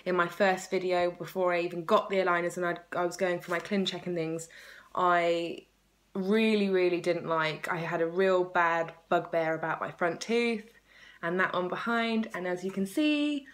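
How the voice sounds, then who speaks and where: Woman, 30s, lowish voice, chatty and enthusiastic home vlogger, casual delivery to a phone. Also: steady tone, normal speed. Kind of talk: casual chat to camera. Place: small room at home by a window, indoors. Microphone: phone at arm's length.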